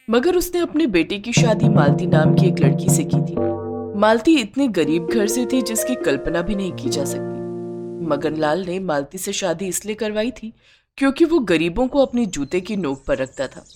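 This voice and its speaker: A woman narrating a scripted cartoon moral story in an expressive storytelling manner. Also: fairly low pitch.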